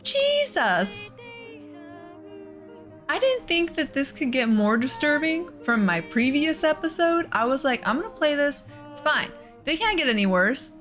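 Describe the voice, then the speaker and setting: A woman's voice: high-pitched, a bit bright. A woman hosting a casual let's play, with an exasperated, sarcastic way of talking. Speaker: gaming host.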